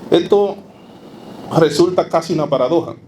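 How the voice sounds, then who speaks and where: A man with a Dominican accent giving an academic lecture to a live audience, emphatic and halting, repeating phrases. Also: on the high side, mellow. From Dominican Republic. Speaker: academic speaker. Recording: live talk with noticeable background noise.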